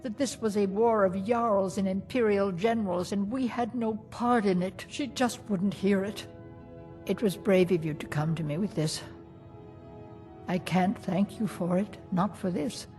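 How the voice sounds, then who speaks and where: A woman's voice: mid-range voice, a little slow, warm tone, soft background music, lightly acted. A woman playing a stoic, grieving mother in a fantasy game. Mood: grieving restraint. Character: grieving, stoic mother in a war-torn town.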